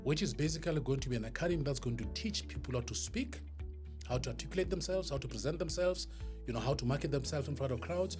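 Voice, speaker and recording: A man's voice: fairly high pitch, lively intonation, fast talker. Emphatic South African man over music. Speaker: podcast guest, professional speaker. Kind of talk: motivational storytelling in an interview. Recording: music behind the voice.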